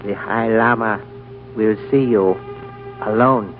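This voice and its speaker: A man's voice: fairly low pitch, fairly even intonation, slow, over music. A man playing a formal, deferential Chinese lamasery attendant in a 1940s radio drama, ceremonious and precise. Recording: old narrow-band radio recording, faint noise.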